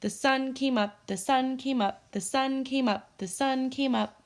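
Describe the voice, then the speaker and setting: A woman voicing a small, cheeky, quick-witted male squirrel for a storybook, chirping in a singsong way. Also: sits high, pitched above the performer's own voice. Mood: gleeful singing. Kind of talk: storybook character voice.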